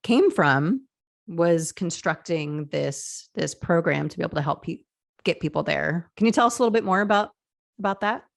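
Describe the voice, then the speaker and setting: A young woman hosting an interview show, chatty and relaxed, with lots of filler words. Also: fairly low pitch, normal speed, vocal fry. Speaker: podcast host.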